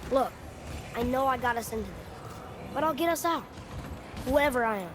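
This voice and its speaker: A man's voice: very high voice, lively intonation, moderate pace, background noise. A young male actor playing an earnest, headstrong, curious boy in a video game, plain-spoken and eager. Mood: defiant. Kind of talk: dramatic video game acting. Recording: loud music behind the voice.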